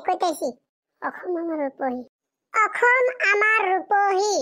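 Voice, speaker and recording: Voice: very high-pitched, pushed and bright, heavily acted. A performer voicing an obedient young pupil in a cartoon classroom, deferential and reciting. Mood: hesitant.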